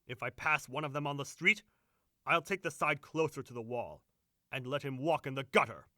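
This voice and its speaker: A man's voice: medium pitch, normal speed, bright, forceful tone, no echo, clean studio sound, close to an everyday voice. An actor playing a brash, boastful young male servant with a crude swagger in an audio drama. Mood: cocky.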